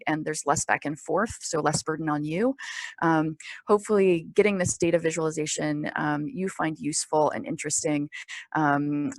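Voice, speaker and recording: A woman presents an informal training webinar in a lowish voice with a gravelly fry. She explains things step by step, halting often between phrases. The sound is close and dry, with hardly any room in it. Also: dry recording. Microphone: conference call or webinar microphone.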